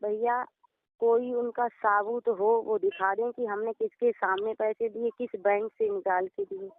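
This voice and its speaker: A woman's voice: fairly high pitch, measured pace, vocal fry. A woman on a phone call giving her side in an aggrieved, pleading and deferential way. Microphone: telephone. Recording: narrow tinny phone-call recording.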